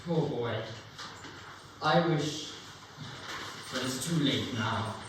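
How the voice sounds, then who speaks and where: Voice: mid-range voice, drawn-out words. A young stage actor playing a regretful, wistful old man in a dramatic reading. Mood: remorseful, wistful. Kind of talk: full-cast dramatic reading. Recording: echoey hall, distant mic, faint noise.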